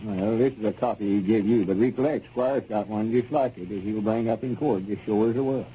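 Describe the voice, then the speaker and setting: A man's voice: on the low side, fairly quick, mellow, lightly acted. An actor playing an exasperated, folksy storekeeper with a rural Arkansas Southern accent, fussing at his partner in a 1930s radio serial. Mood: explaining. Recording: dull, noisy 1930s radio recording.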